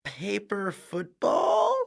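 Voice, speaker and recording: A woman's voice: mid-range voice, huge pitch swings, drawn-out words, creaky, breathy edge, heavily acted. A woman playing a nervy, wisecracking teenage student sidekick in a comedic audio drama, glib and jokey. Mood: glib, eager.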